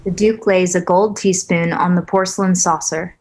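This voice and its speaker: A woman reading stage directions aloud at a script table read, even and matter-of-fact. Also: fairly low pitch, moderate pace.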